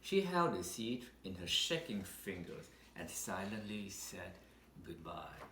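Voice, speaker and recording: A man reads a picture book aloud in a roomy, echoing space with faint noise behind him. His delivery is very slow and deliberate, with dramatic pauses and lively rises and falls that carry the storytelling. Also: low-pitched. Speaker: storybook reader. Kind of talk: read-aloud children's picture book narration.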